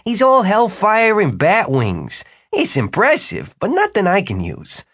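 Man voicing a showy, high-pitched wizard ruler. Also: huge pitch swings, unhurried, a bit bright, no room sound, studio quality, heavily acted. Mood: smug, persuasive. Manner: theatrical, emphatic salesman's delivery. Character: showy, persuasive male wizard ruler, grandly self-assured. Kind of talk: audiobook character dialogue.

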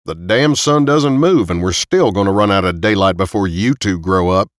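Guy doing a gruff no-nonsense man in an audiobook, lowish voice, commanding, very dry recording. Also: normal speed, no room sound, natural voice. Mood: irritated. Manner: growling, commanding. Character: gruff, impatient, experienced armed man. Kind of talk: audiobook character dialogue.

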